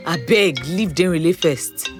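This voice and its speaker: An actress with a Nigerian accent playing a frank, teasing young confidante who prods in naturalistic audio drama style. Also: female voice, lowish voice, unhurried, music in the background. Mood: exasperated. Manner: expressive, frank, prodding. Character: blunt, teasing young woman, close confidante.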